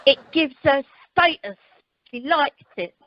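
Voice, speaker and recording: A woman's voice: high voice, expressive, slow. A woman playing a brash, dim, vain wife in an amateur radio comedy. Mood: airy. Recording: noisy, clicky conference phone line.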